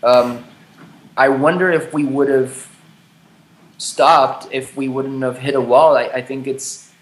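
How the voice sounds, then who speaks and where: A man's voice: mid-range voice, steady tone, slow. Reflective man in his late thirties, echoey room. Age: 30s. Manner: hesitant, restarts often, swears casually. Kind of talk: candid conversation. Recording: roomy echo.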